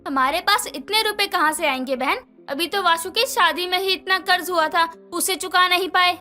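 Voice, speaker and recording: A scheming middle-aged mother-in-law from a cartoon story, voiced by a woman, snapping orders in a high, bright voice. She scolds and wheedles by turns. Faint music plays behind her. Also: moderate pace. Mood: bossy. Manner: bossy, scolding. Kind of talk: cartoon voice acting.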